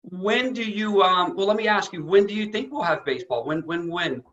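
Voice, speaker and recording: A man's voice: sits high, normal speed, fairly bright tone, highs cut off. A man hosting a show, interviewing a guest in casual, upbeat chat. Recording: very echoey room, faint music.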